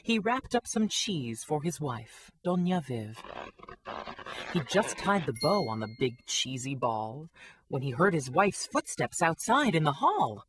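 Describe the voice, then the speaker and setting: A woman narrating a rhyming children's story with an expressive, scripted delivery. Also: deep voice, a little slow, husky.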